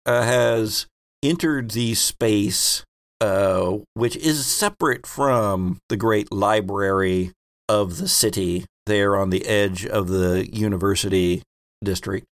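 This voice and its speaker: Slow-paced, creaky-voiced man hosting. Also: fairly low pitch. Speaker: host and game master. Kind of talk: casual tabletop game hosting.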